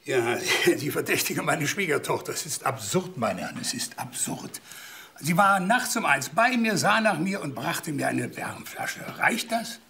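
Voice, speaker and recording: A man's voice: on the high side, expressive, a little slow, vocal fry, bright, forceful tone, exaggerated voice. An older man playing a proud, imperious old German count in a crime drama, formal, curt and indignant.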